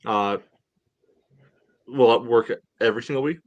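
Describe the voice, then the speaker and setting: A man on a podcast panel giving casual sports analysis in a measured, hedging way, peppered with "you know". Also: medium pitch, highs cut off.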